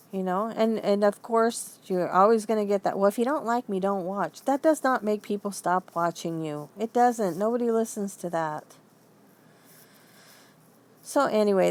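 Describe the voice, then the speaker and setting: A woman's voice: medium pitch, a little mellow. A woman talking casually and emphatically as she vents her opinions in a rambling, conversational online commentary. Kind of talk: casual opinion vlog, venting.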